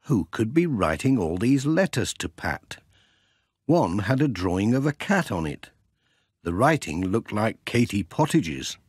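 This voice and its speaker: A man reading a children's storybook as an expressive audiobook narrator. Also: lowish voice, measured pace, slightly rough, no room sound, clean studio sound.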